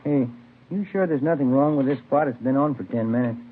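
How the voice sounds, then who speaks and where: A man's voice: mid-range voice, a little fast, natural voice. Fussy, homely detective sidekick played by a man. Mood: fussing, amiable. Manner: homely, chatty, mildly fussing. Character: fussy, good-natured married police detective partner. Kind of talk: 1950s radio drama acting, naturalistic. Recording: tinny hissy vintage radio recording.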